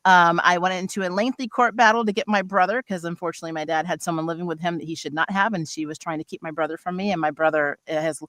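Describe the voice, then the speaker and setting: A middle-aged woman with a bright, forward voice, recorded close and dry. She talks casually as a voice actor on a livestream panel, candid and informal, poking fun at herself. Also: lowish voice, steady tone, fast, hardly any pauses, dry recording. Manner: candid, self-deprecating humor; informal slangy phrasing.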